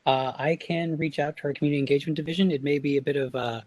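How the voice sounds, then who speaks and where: A man's voice: on the high side, fairly even intonation, racing, a little mellow, dry recording. A man representing the police at a public meeting, answering directly.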